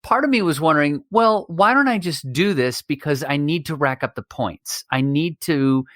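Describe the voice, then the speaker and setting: A man hosting a casual podcast chat, talking haltingly and repeating words as he thinks aloud. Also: on the high side, lively intonation, a bit bright, dry recording, clean studio sound. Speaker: podcast host.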